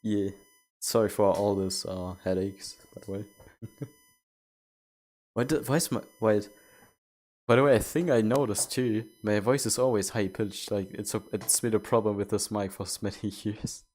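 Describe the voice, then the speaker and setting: A man's voice: lowish voice, normal speed, a little mellow. A young man streaming a game, chatting casually and rambling with many restarts. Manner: rambling, self-correcting. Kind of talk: casual chat while gaming.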